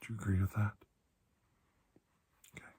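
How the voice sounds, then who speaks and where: A man speaking in a soft, breathy ASMR roleplay as a calm, kindly doctor, gentle and reassuring with unhurried pauses. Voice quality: breathy, hushed. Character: calm, kindly male doctor soothing a frightened patient. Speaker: ASMR performer playing a doctor.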